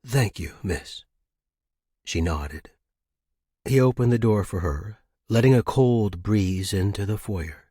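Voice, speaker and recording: A man's voice: on the low side, a little slow, mellow, breathy edge, dry recording, studio quality. A man hosting a story podcast and reading scripted narration. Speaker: podcast host and story reader.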